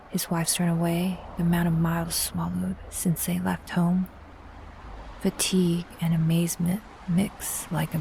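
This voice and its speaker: Casting: female narrator for suspense audio drama, lowish pitch, very flat intonation, measured delivery, faint music behind. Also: creaky, a little hiss or hum, poor audio.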